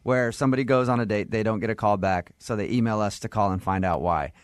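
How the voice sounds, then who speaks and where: A man's voice: lowish voice, fast talker, no room sound, studio quality. An American man hosting a radio phone-in segment with teasing, casual, probing banter. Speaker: radio show host.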